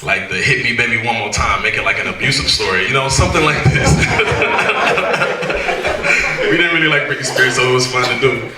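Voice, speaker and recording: A man from Cleveland, Ohio, telling his story in a casual, fast and laughing way as a guest speaker to students. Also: mid-range voice. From Cleveland, Ohio, USA. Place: university event hall. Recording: echoey hall, mic from a distance.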